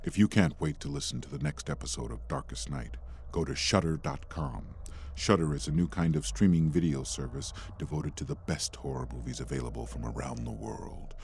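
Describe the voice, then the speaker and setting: A very deep male announcer reads a scripted promo. His voice crackles with heavy fry, and there is faint noise behind it. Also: brisk, darkish tone.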